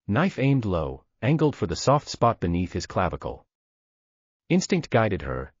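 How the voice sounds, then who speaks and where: A man's voice: low voice, slightly rough, dry recording. A man giving grave, dramatic narration of a science fiction story. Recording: dull dry lo-fi recording.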